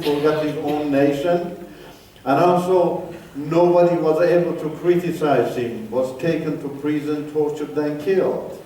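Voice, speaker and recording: A man's voice: on the high side, warm tone, recorded from a distance, a little hiss or hum. Older man, echoey hall. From Iran. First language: Turkish. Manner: emphatic, in short halting phrases. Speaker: guest speaker. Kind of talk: personal testimony talk. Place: church hall.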